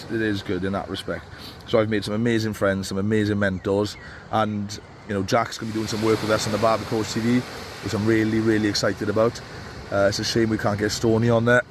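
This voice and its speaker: A man with a cold, giving casual, rambling advice to barbers from a beach. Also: on the low side. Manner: rambling, with many fillers and restarts. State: stuffy with a cold. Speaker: barber coach. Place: outdoors on a beach. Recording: faint outdoor noise.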